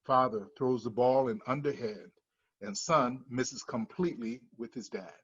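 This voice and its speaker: A man's voice: mid-range voice, a little slow, breathy edge. A man reading screenplay stage directions as scripted narration. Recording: dull lo-fi sound, music behind.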